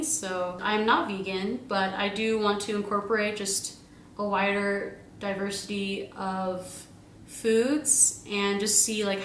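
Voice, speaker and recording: A woman's voice: medium pitch, a bit bright, a little hiss or hum. A young woman in her twenties chatting casually through a grocery haul at home, bubbly and giggly, rambling with lots of fillers. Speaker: vlogger. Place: home kitchen, indoors. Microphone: camera a few meters away. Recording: roomy kitchen sound, camera at a distance.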